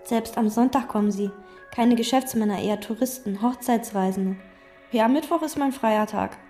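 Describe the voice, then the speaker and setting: A woman plays a lonely, obsessive chambermaid, terse and intense. Her voice sits a little high. Faint music hangs beneath her. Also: normal speed, dark tone, natural voice. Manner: terse, intense, sometimes whispered. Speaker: lead in radio drama. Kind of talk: radio drama acting.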